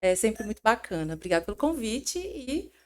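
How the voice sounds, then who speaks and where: A woman's voice: on the high side, moderate pace, noisy background. Chatty middle-aged woman, podcast guest. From São Paulo, Brazil. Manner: animated, chatty, self-interrupting storyteller. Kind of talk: casual interview chat.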